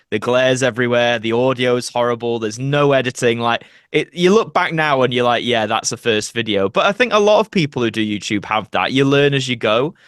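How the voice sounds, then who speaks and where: A man's voice: medium pitch, fairly bright tone, no room sound, studio quality. A young man chatting casually as an interview guest, rambling and self-deprecating. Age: young adult. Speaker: podcast guest, actor and video creator.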